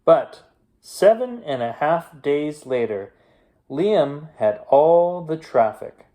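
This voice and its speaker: A man narrating a children's picture book as an expressive, unhurried bedtime storyteller with deliberate dramatic pauses. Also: on the high side.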